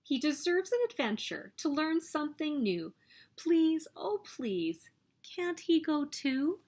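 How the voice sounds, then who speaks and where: An earnest storybook child, acted out by a woman reader, pleading on behalf of a beloved pet dragon. The voice is a bit high and swings up and down as it begs. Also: sing-song, takes it slowly, a little mellow, full character voice.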